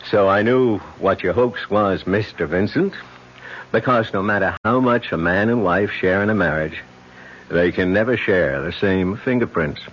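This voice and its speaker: An actor playing a shrewd, smug insurance investigator in a radio drama, measured and wry. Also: male voice, low-pitched, a little hiss or hum, lightly acted. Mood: smugly explaining. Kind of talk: 1970s radio drama acting. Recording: muffled old radio recording.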